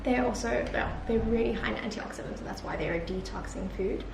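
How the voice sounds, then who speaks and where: Chatty young woman vlogging, gravelly edge. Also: on the high side, fairly quick. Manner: chatty, filler-heavy, upbeat. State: croaky from just waking up. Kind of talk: casual day-in-the-life vlog. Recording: echoey room with clear background noise.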